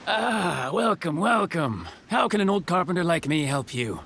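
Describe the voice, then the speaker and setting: An actor playing a welcoming old carpenter in a video game, genial and a little hesitant. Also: male voice, on the high side, big pitch swings, normal speed, fairly bright tone, slight background noise. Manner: hesitant, chuckling, hospitable.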